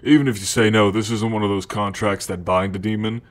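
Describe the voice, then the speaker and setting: A man's voice: fairly low pitch, steady tone, a little fast, natural voice. A man playing a cocky, food-obsessed male demon in an ASMR roleplay, talking to the listener in a casual, hesitant slacker drawl. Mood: fond, mischievous.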